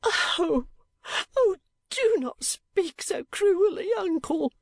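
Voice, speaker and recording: A man's voice: lively intonation, slow pace, creaky, no echo, heavily acted. A man reading aloud, voicing an overwrought, tearful middle-aged wife and mother who gushes with emotion. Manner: shrill, tearful and effusive. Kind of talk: audiobook character voice.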